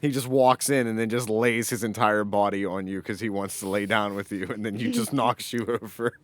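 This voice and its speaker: A man's voice: lowish voice, fairly even intonation, normal speed, no room sound. A man co-hosting a podcast, chatting casually and trading banter. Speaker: podcast co-host. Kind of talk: casual chat.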